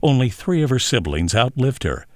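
A man's voice: medium pitch, very animated, moderate pace, darkish tone, no echo. A man reading a scripted biographical narration as a narrator.

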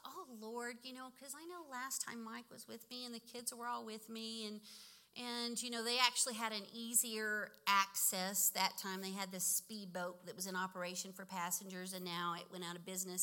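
A woman's voice: medium pitch, bright, forceful tone. A woman in her fifties sharing a personal faith story in a chatty, laughing way, often correcting herself. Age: 50s. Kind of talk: casual testimony talk.

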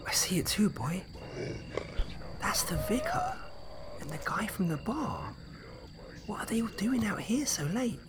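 Man acting anxious dog walker, hesitant and slow, lively pitch, faint night noise behind. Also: crowd noise. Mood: hushed, puzzled. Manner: hesitant, stammering. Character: nervous, bewildered man out walking his dog. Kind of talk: comedy-horror audio drama acting.

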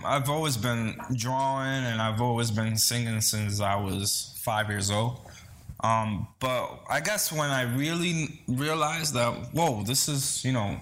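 A man's voice: mid-range voice, a bit bright, a little hiss or hum. A man from the Bronx, talking casually and earnestly in an interview, hesitating and repeating words. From Bronx, New York City, early childhood in the Dominican Republic. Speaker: interview guest, singer-songwriter.

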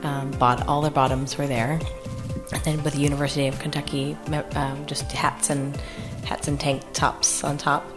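A woman with an American accent telling a casual, humorous anecdote in a halting way, often interrupting herself. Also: extremely low pitch, level tone, music in the background. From US East Coast. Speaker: improv comedy troupe guest storyteller.

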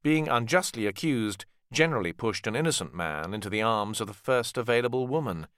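Expressive male audiobook narrator, varied pitch. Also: a little fast, smooth, no echo. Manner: expressive storytelling. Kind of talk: scripted literary narration.

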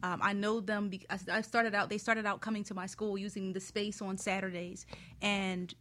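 A woman's voice: mid-range voice, level tone, normal speed, a bit bright. A woman from Philadelphia giving advice as a panel guest in casual, explanatory talk, encouraging and working through examples. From Philadelphia, United States.

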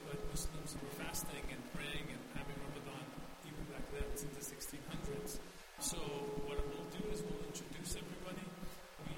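A man originally from Afghanistan welcoming guests to an event in a community center prayer hall, in an earnest, inclusive way. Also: on the high side. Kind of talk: welcoming speech to an audience. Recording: noisy room recording.

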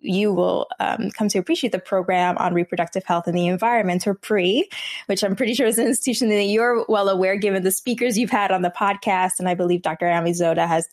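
Slightly hoarse New Jersey woman. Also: medium pitch, fairly even intonation, quick pace. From New Jersey, USA. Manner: conversational, many fillers and restarts. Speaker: guest, medical student. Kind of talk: podcast interview.

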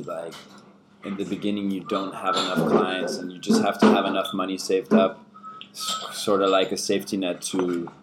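A man in his twenties whose first language is German, answering interview questions in a casual, measured way and often repeating words while he thinks. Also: low-pitched, noisy background. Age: 20s. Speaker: podcast guest, fitness coach. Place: busy bar. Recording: bar crowd chatter behind.

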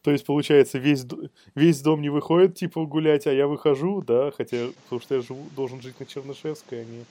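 A man's voice: mid-range voice, fairly even intonation, quick pace, hardly any pauses, warm tone, no echo. A man co-hosting a casual chat about the news, speaking in an informal, colloquial way. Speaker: podcast co-host.

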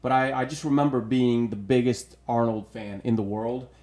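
A man's voice: mid-range voice, moderate pace, darkish tone. Emphatic man in his thirties from Bulgaria. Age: 30s. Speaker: podcast guest. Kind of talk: casual chat, personal storytelling.

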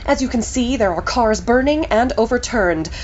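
A woman's voice: on the high side, lively intonation, normal speed, a little mellow, dull audio, slight background noise, lightly acted. An actress playing a brash, bossy female TV news reporter in an audio drama. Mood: reporting. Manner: bossy, pushy.

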